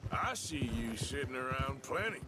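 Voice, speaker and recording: An actor playing a seasoned, skeptical western outlaw in a video game, with a dry, needling manner. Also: male voice, medium pitch, big pitch swings, normal speed, close to an everyday voice. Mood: wry. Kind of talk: video game cutscene acting. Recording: game audio under loud music. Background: loud music, horse hooves.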